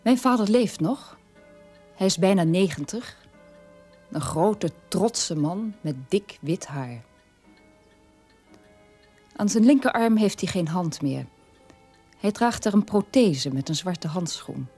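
An actress playing a sober middle-aged daughter of a war criminal, telling her past in a measured radio-play monologue with a husky voice. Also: lowish voice, lively intonation, mellow, soft background music, heavily acted. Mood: sober, reflective.

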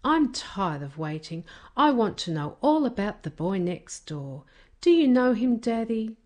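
A woman voicing an eager, impatient young boy in a children's storybook, excitable and full of questions. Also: a little high, unhurried, voice pushed up. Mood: impatient, curious.

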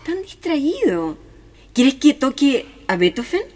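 Radio drama lady: refined, chiding pianist, high swooping voice, hall-like reverb. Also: very animated, takes it slowly, a little mellow, exaggerated voice. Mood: fussy reproach. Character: cultured, reproachful lady pianist.

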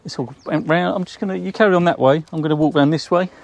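A man in his forties with an English accent, casually walking through a boat for sale, chatty and relaxed, with frequent ums, recorded outdoors in a marina. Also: a little high, quick pace, gravelly. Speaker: boat sales presenter. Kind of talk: casual walkthrough tour.

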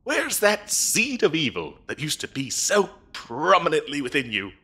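A man's voice: on the high side, huge pitch swings, moderate pace, sharp tone, husky, heavily acted. A man playing a fussy, bureaucratic demon interviewer in a comedic audio roleplay, officious and chatty with hesitant, dryly polite phrasing. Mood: urging, disappointed. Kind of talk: comedic audio roleplay acting, addressing the listener.

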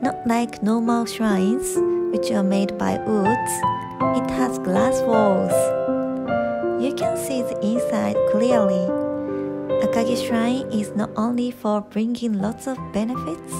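Young female travel vlog narrator, Japanese accent, fairly high voice, very slow delivery, music underneath. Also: steady tone, vocal fry. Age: young adult. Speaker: vlog host. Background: background music.

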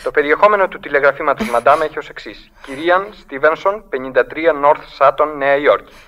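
A man's voice: fairly high pitch, fairly bright tone, natural voice. An actor playing a businesslike male telegraph clerk in a radio drama, formal and mechanical in delivery.

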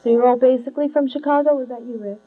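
A woman's voice: high-pitched, fairly even intonation, rapid-fire. A young woman interviewing a band on a handheld mic in a small curtained room, earnest and often laughing. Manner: earnest, laughs often, reads questions from notes. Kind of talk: live studio interview. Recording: tinny lo-fi late-70s video sound with mains hum.

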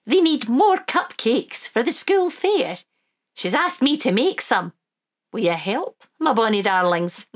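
A cheerful old granny from a children's storybook, voiced by a woman reading aloud. She speaks with a lilt, bright and high, and swoops up and down as she calls the children her darlings. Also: very animated, takes it slowly. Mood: cheery.

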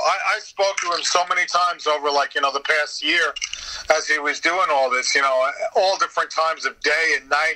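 A man with a fairly high, very bright and forceful voice talks casually as an interview guest. He tells stories in an animated way, acting out bits of conversation and swearing lightly. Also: rough recording. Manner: animated storytelling, quoting exchanges, mild swearing. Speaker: podcast guest, voice actor.

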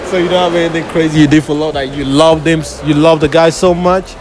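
A man's voice: a little high, fast talker, noisy background. Casual man interviewing shoppers. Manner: relaxed, slangy questions. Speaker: street interviewer. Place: indoor shopping mall. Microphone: handheld phone.

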